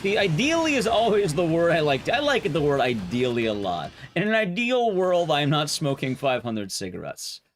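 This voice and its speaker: Young man, streamer, rambling casual chat, bouncy pitch, faint hiss behind. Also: moderate pace, few pauses. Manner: rambling, chatty, lively pitch jumps.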